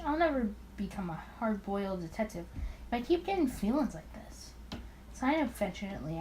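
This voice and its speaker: A woman's voice: a little high, dark tone, low-quality recording. A woman reading a visual novel aloud with commentary, expressively and with stumbles and rereads, over some background noise. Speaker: let's player.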